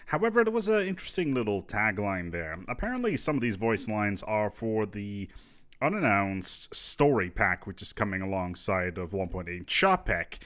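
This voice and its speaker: A man's voice: fairly low pitch, pushed and bright. A man presenting casual gaming news commentary in an informal, conversational, musing manner.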